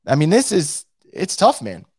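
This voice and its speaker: A hoarse man who is losing his voice hosts a casual fan show and reads out the live chat, blunt and profane, with many restarts. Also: fairly high pitch, wildly swooping pitch, moderate pace, darkish tone, no room sound, clean studio sound. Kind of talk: casual post-game rant.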